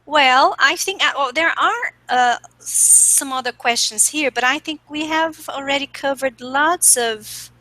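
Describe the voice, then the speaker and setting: A woman leads the interview in English, friendly, often ending with tag questions. Her voice is bright and fairly high. The sound is dry and close. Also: a little slow, few pauses, no room sound. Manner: friendly, asks questions, ends lines with tag questions. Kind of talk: conversational interview.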